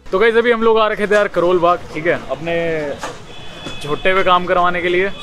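A man's voice: sits high, steady tone, moderate pace, soft background music. A young man, vlogging casually into a phone at arm's length, chatting with lots of fillers. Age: young adult. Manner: chatty, fillers like yaar and guys. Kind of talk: casual vlog chat. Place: busy outdoor market street. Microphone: phone held at arm's length. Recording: loud street crowd behind. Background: crowd and traffic noise.

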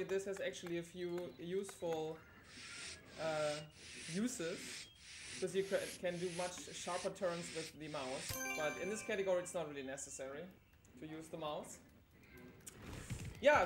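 A young woman whose first language is German, chatting through a live speedrun with many fillers. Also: mid-range voice. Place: indoor room at a live event. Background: game music.

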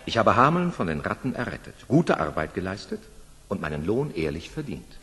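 An actor playing a proud, wronged rat-catcher in a fairy-tale radio play, speaking with firm dignity. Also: male voice, low-pitched, very animated, brisk. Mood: firm, indignant. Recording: noisy, reverberant hall sound.

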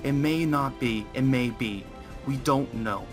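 A man's voice: medium pitch, unhurried, music in the background, natural voice. A man as an insistent werewolf pack leader. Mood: accusing, insistent. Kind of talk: amateur Minecraft roleplay acting.

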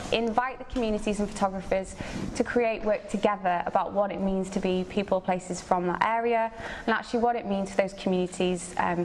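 A woman who is losing her voice, giving an informal welcome talk as a gallery producer to a seated audience. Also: mid-range voice. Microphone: distant camera microphone. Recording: noisy distant room recording.

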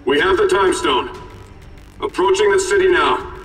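Heroic army captain, businesslike, bit high, echoey game cutscene. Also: male voice, moderate pace, fairly bright tone. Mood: resolute. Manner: businesslike, military. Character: steady, heroic male soldier captain. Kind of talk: video game cutscene acting. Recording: distant, long reverb, faint music.

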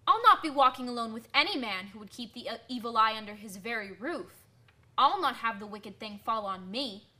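A woman's voice: on the high side, expressive, a little fast, bright, forceful tone. A woman playing a proud, superstitious village washerwoman in a radio drama, folksy, emphatic and scolding. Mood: indignant.

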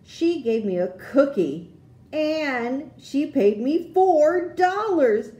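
A woman reading a children's storybook aloud, with expressive, deliberate phrasing for young listeners. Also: high-pitched, dull audio.

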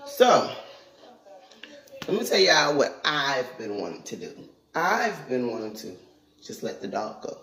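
A woman's voice: extremely low pitch, very animated, measured pace, a bit bright. A middle-aged woman, chatting casually and plainly to viewers as a vlogger. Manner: plainspoken, conversational, addressing viewers directly. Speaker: vlogger and parent. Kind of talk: casual vlog chat. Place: indoors in a house, kitchen and hallway. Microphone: phone at arm's length. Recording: roomy, echoey home sound.

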